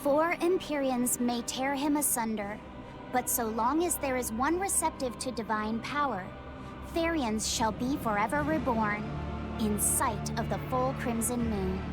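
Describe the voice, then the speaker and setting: A woman's voice: high voice, animated, unhurried, music in the background. A woman voicing a young boy pupil in a video game, earnest, shy and careful with his words. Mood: solemn reciting. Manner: hesitant, earnest. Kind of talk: video game cutscene acting.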